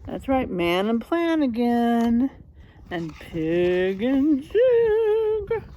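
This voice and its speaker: A woman's voice: a little high, big pitch swings, drawn-out words, warm tone. A woman reading a children's story aloud as a teacher would, speaking directly and encouragingly to young listeners. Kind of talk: children's read-aloud with rhyme and vocabulary talk.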